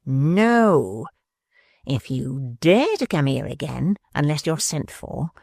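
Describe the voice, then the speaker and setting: A man's voice: fairly high pitch, no room sound, clean studio sound. A man voicing a spiteful, bullying male dwarf in an audiobook, sneering and menacing. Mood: threatening. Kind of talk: audiobook character voice.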